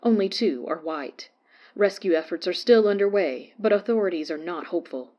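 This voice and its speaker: Low-pitched, sober woman acting a radio newscaster. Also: animated, normal speed, dark tone, dull audio, natural voice. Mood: sober, formal. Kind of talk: scripted news report in audio drama.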